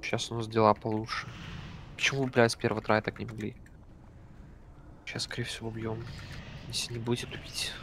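A man leading a game raid over voice chat, giving brisk commands and swearing casually. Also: lowish voice, monotone, gravelly, noisy background. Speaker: raid leader.

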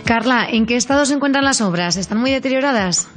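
Quick woman reporter asking questions, highish voice, music underneath. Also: expressive. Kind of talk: interviewing.

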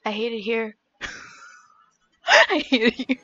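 A woman's voice: on the high side, sing-song, takes it slowly, bright, forceful tone, dry recording. A young woman live-streaming a game in casual, reactive chat, swearing casually, on slightly dull lo-fi audio.

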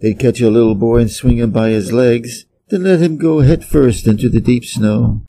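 A man from Wisconsin with an American Midwest accent, reading a children's story aloud slowly and clearly for English learners. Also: mid-range voice, warm tone, slight background noise, rough recording. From Wisconsin, USA. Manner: careful, evenly paced read-aloud. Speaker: audiobook reader for English learners.

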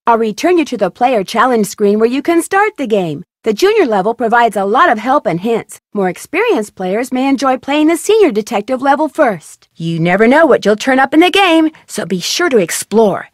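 A plucky young girl detective from a video game, inquisitive and friendly, with a fairly high, lively voice. She is recorded close and dry in a studio. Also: normal speed, hardly any pauses, no echo. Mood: curious. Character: young female amateur detective, curious and upbeat. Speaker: game protagonist and tutorial guide.

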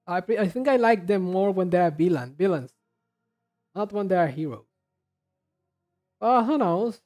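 A reaction video host giving casual commentary, halting and repeating words while thinking aloud. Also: medium pitch, animated, a little mellow.

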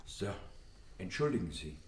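A manservant in a detective radio play. His voice is deep and husky. He speaks with formal deference and grave restraint. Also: big pitch swings, a little slow, exaggerated voice. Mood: grave, deferential. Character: formal, discreet male servant bringing grave news. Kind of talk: German radio play acting.

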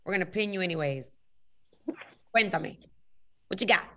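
Woman host, 30s, upbeat and encouraging on a webcam call, slightly low voice. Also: normal speed, pushed and bright, a little hiss or hum. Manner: enthusiastic, peppers speech with Spanish words. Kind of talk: upbeat video call hosting. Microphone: video call webcam.

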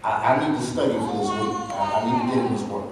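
A man's voice: lowish voice, fast talker, slight background noise, close to an everyday voice. A man acting a weary, devoted young preacher husband in a stage play. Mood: weary, preoccupied. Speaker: stage actor. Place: stage in a large auditorium. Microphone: distant camera. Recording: echoey theater hall from far off, music.

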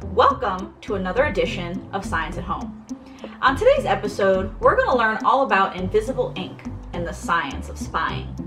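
American woman in her 30s hosting an educational video, really lively pitch, bit of music under it. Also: moderate pace. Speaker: museum educator and host. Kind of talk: scripted educational presentation. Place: small furnished room at home, indoors.

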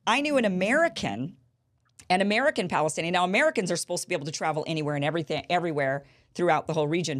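A woman in her thirties hosting a political interview show, telling stories with animated gestures in a small studio. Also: lowish voice. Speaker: host. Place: small studio with a bright backdrop. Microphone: studio mic on a boom.

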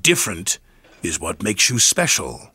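A man's voice: low voice, wildly swooping pitch, unhurried, bright, forceful tone, slightly rough, voice pushed down, heavily acted. A man voicing a proud, kindly railway boss with formal authority in a children's story. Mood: firm, reassuring.

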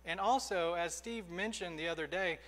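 Youngish pastor guy preaching, high voice, big church echo, leans hard on the key words. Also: fairly bright tone. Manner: earnest, emphatic preaching with slowed stressed phrases. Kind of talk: sermon. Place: church sanctuary, indoors. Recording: reverberant church hall.

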